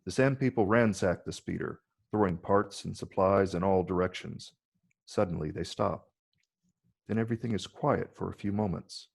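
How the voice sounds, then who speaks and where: A man delivers scripted narration for a screenplay reading. His voice is deep and husky, with a heavy gravelly creak. He reads the scene descriptions as a narrator. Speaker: narrator reading stage directions.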